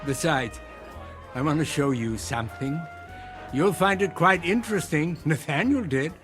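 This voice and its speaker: An actor playing a jovial, dandyish man with smooth, insistent charm, genteel and theatrical, in an audio drama. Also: a little high, animated, moderate pace, fairly bright tone, faint music behind. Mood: smooth, coaxing.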